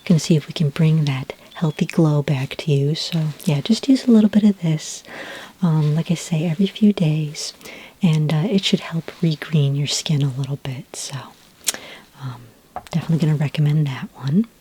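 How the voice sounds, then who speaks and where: A woman acts out a kindly alien skin care consultant in a soft ASMR roleplay. Her voice is low and mellow, very breathy and often close to a whisper. She explains things gently and without hurry, reassuring the listener. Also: moderate pace, rough recording. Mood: soothing, helpful.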